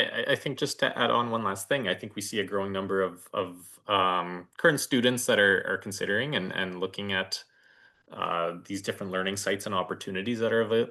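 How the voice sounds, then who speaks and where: Low, bright-voiced male moderator. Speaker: session moderator. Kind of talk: informal hosting of an online presentation.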